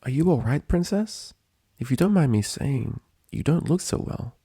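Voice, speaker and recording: A man voicing an anxious young mare, pitched up. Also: animated, normal speed, dark tone, slightly rough, no room sound, studio quality. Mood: worried. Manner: polite, hesitant, worried. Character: earnest, anxious young female scholar pony.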